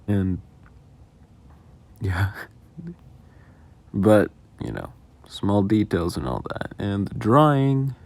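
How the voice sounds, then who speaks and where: A man's voice: deep voice, creaky, a little mellow. A man giving casual, rambling behind-the-scenes commentary in a self-deprecating, casually sweary way. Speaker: audio roleplay creator.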